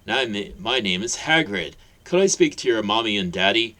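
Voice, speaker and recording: A man voicing a big, friendly bearded man who speaks brightly and emphatically. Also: lowish voice, expressive, moderate pace.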